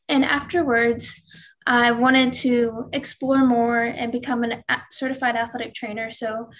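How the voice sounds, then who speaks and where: A young woman from Louisiana, an athletic trainer, talking earnestly and warmly as a podcast guest, with a lot of fillers and restarts. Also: fairly high pitch, gravelly. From Louisiana, USA. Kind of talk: interview.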